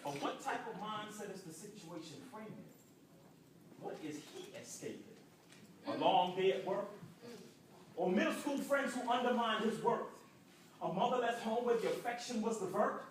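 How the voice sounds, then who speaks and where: A man's voice: high voice, moderate pace, a little hiss or hum. A young man from Jamaica performing rhythmic, rhyming spoken word poetry at an arts center. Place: indoor arts center hall. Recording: echoey room from far off.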